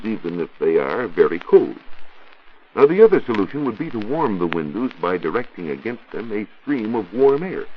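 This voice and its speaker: A man with an American accent explaining science on a scripted 1940s radio show, in a didactic, deliberate and emphatic way. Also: low voice. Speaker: science reporter answering listener questions. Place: radio studio. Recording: muffled, noisy 1940s radio recording.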